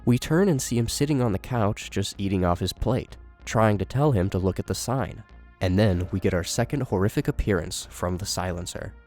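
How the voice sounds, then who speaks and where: A man with a low voice narrates and comments on a horror series from a script. Faint music runs behind his delivery. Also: normal speed, darkish tone.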